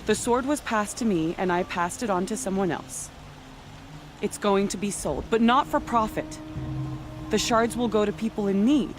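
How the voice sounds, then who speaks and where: A woman's voice: mid-range voice, normal speed, background noise, close to an everyday voice. An actress playing a defiant and principled female thief in a video game.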